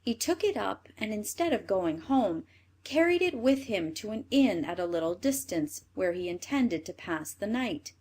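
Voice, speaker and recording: Female storyteller, mid-pitched and highly animated, for scripted children's fairy tale narration. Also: moderate pace. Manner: expressive read-aloud delivery.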